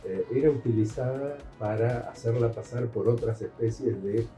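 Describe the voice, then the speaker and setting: A man's voice: mid-range voice, normal speed, warm tone, reverberant, faint music behind. A man with an Argentine accent hosting an interview, asking questions in a friendly, informal way. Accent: Rioplatense Argentine Spanish. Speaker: host interviewer.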